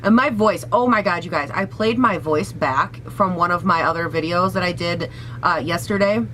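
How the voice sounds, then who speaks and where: A young woman with a raspy voice, hoarse from a cold, chatting casually and sassily through a makeup try-on at home. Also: fairly low pitch, moderate pace, a bit bright, a little hiss or hum, poor audio. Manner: chatty, sassy, swears casually. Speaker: beauty vlogger.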